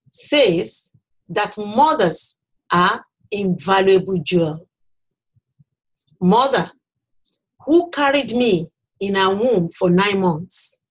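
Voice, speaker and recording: Middle-aged Nigerian woman, Yoruba native, nursing academic; slow, deliberate scripted read to a webcam in a small office. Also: mid-range voice, husky, low-quality recording. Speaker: nursing academic presenting a health program. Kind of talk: scripted presentation to camera. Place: small office with bookshelves, indoors.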